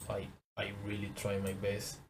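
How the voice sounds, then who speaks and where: Young Venezuelan man, Spanish-accented English, low flat delivery, hesitant, faint noise. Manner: halting, repeats words, searches for English. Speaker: trading course teacher. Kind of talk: casual screen-share lesson.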